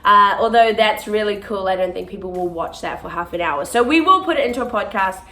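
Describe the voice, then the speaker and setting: A young woman, a touring musical theatre performer talking earnestly to camera in a casual vlog, often pausing on "um". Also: mid-range voice, bright, forceful tone. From born in South Africa, raised in New Zealand. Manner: earnest and chatty, with frequent ums.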